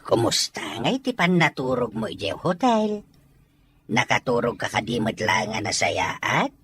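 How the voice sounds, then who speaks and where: Woman voicing older country man, low pitch, swooping intonation, teasing and coaxing, audio drama, faint noise. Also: sing-song, a little slow, a bit bright, exaggerated voice. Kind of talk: Ilocano audio drama acting.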